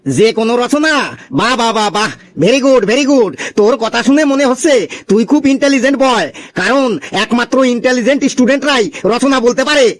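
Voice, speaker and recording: Man acting an excitable high-voiced cartoon schoolteacher. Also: a little fast. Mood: delighted. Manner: exclamatory, repeats himself. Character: excitable, exasperated male schoolteacher, easily fooled. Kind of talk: comic cartoon dialogue.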